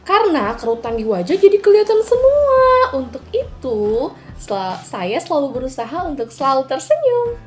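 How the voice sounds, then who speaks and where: A woman's voice: high-pitched, big pitch swings, normal speed, soft background music. A woman in her late twenties from Lampung, Indonesia, giving a personal testimonial introduction. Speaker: freelance MC and entrepreneur.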